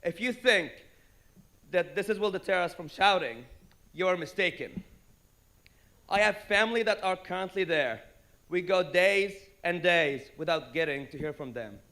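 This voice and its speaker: A man's voice: high voice, lively intonation, normal speed, pushed and bright. A man giving an impassioned, defiant speech at a rally and repeating lines for emphasis. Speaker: rally speaker.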